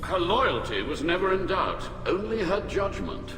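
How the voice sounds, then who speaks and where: A man's voice: high voice, very animated, a little slow. A man voicing a stern, skeptical, argumentative alien admiral in a video game, speaking in a stiff, formal way. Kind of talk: dramatic video game voice acting. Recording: loud music and machinery behind.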